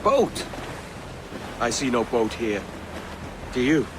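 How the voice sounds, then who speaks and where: A man playing a gentle, regretful sea captain and father with a measured, hesitant manner in a video game cutscene. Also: mid-range voice, big pitch swings. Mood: gently indulgent. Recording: noisy wind and waves on ship.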